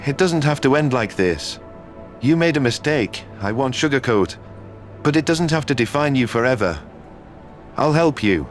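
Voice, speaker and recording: A man's voice: medium pitch, expressive, normal speed, soft background music, natural voice. An actor playing a concerned, loyal human man in earnest, emphatic dialogue from a sci-fi audio drama. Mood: soft, worried.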